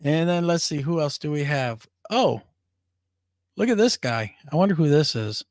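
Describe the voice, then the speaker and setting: An upbeat man hosts a casual live chat, laughing easily between remarks. His voice sounds scratchy and worn, pitched a little high. The sound is dry, with no room echo. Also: animated, normal speed, darkish tone. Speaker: co-host of a paranormal talk show.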